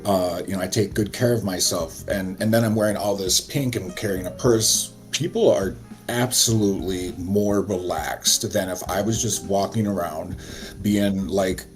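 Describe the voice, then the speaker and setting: A gentle man with a very low, deep voice, talking slowly and thoughtfully with small hesitations. Soft music plays faintly under the conversation. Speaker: guest. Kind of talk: casual conversation.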